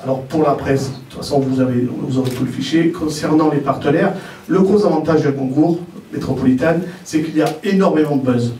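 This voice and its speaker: Male presenter, mellow voice, halting informal explanation, roomy and distant sound. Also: on the high side. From Algerian family origin. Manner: hesitant, repeats words while explaining. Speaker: president of a model contest, speaker at press presentation. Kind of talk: informal spoken presentation to press. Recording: roomy echo, distant mic, faint noise.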